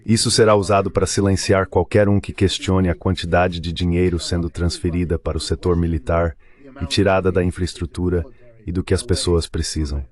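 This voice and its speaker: A man's voice: low-pitched, flat delivery, brisk. A man with a Brazilian accent reading a translated interview as a voice-over.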